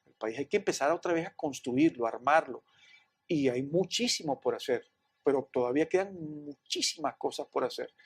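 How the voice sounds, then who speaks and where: A man with a Venezuelan accent answering interview questions in an earnest, halting way, often repeating words. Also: mid-range voice, big pitch swings, creaky, husky. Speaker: interview guest, businessman.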